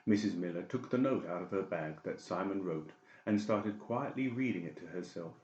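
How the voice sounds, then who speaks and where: A man's voice: low voice, moderate pace, a little mellow. A man reading a dramatic scripted audiobook narration. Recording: dull, echoey room recording.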